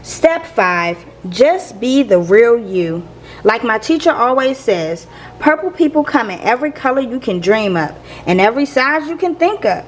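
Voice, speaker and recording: A woman reading a picture book aloud to young listeners in a playful, expressive teacher's manner. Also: on the high side, normal speed, faint music behind, poor audio. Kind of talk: expressive children's read-aloud.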